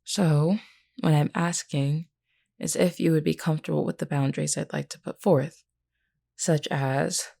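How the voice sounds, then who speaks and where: A woman playing a flirty, frank, self-assured werewolf in an intimate, unhurried audio roleplay spoken to the listener. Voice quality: low, creaky, mellow. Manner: unhurried, teasing yet earnest, deliberate.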